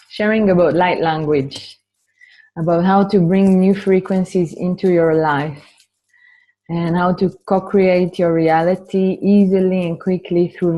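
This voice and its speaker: Woman, Israeli accent, spiritual webinar host, slow pace, soft mellow tone, gentle delivery. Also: on the low side, rough recording. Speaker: spiritual healer hosting a webinar. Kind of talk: guided meditation and informal webinar talk. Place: online webinar from a computer.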